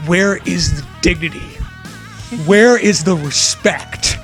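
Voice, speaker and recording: A man's voice: high-pitched, slow pace, over music. A man giving a comedic, mock-dramatic reading aloud as co-host, with profane banter.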